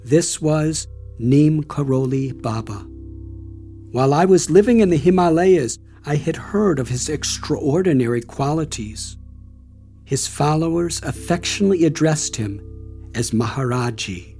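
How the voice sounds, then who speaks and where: Slow, reflective older man narrating. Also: on the high side, expressive, mellow. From United States. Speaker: author reading his memoir. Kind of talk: audiobook narration. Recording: light music under the voice.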